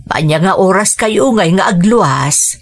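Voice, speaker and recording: A woman playing a gentle, anxious elderly mother who is fond of her daughter, in an Ilocano radio drama. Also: medium pitch, animated, unhurried, vocal fry. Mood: tender, concerned. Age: older.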